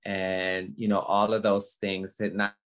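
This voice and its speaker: A woman in her fifties speaks candidly in a conversational interview. Her delivery is earnest and halting, and she circles back to repeat words for emphasis, in the manner of an informal motivational talk. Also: extremely low pitch, level tone. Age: 50s. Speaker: guest.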